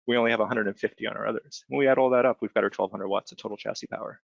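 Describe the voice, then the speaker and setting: A man with a heavily fry-laden, lowish voice walks through software like a trainer on a webinar. He explains each step in a conversational way, tossing in little check-in questions. The sound is close and dry, slightly dulled. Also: fairly even intonation, rapid-fire, dry recording. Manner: conversational, explanatory, frequent check-in tags like "right?". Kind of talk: informal step-by-step software demonstration. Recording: dull, band-limited close dry voice recording.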